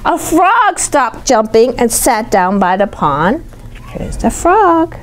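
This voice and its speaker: A woman reading a picture book aloud to young children, pausing to ask questions and explain words simply. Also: fairly high pitch, big pitch swings, slight background noise. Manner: explains simply, pointing things out. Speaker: storytime reader teaching young children.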